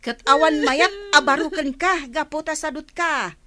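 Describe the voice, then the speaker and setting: A woman's voice: sits high, moderate pace, bright, forceful tone, no room sound. A woman playing an exasperated, scolding village mother with an Ilocano accent in a comedy radio drama. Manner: nagging, emphatic.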